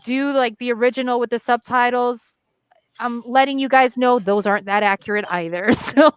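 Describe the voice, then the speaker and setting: A woman's voice: a little high, fairly even intonation, normal speed, clean tone, no room sound, rough recording. A woman who is a Portuguese speaker, co-hosting a casual fan podcast in a chatty way with easy laughter. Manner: chatty, laughs easily, many "like" fillers. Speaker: podcast co-host. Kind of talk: casual chat.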